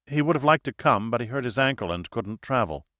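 Audiobook heroine, read by a man: capable American woman, bright crisp delivery, teasing and careful. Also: mid-range voice, animated, a little fast, dry recording, clean studio sound. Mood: polite, explaining. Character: wry, capable, cautious American wife and scientist.

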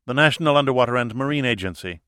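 Guy reading a level-headed woman scientist, bit teasing, careful, bright dry-room sound, audiobook. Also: medium pitch, expressive, very fast, clear, resonant tone, no room sound, lightly acted. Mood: polite, explaining. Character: wry, capable, cautious American wife and scientist.

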